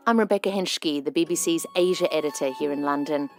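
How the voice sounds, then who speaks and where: A woman presenting a radio documentary, narrating a script in a measured, even way over a studio microphone. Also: deep voice. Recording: music bed underneath.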